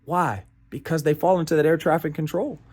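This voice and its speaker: A man's voice: on the high side, fairly even intonation, brisk, darkish tone, slightly rough. An American man presenting a motivational training talk in an emphatic, playful way, repeating phrases and often checking in with "right?". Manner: playful asides and chuckles.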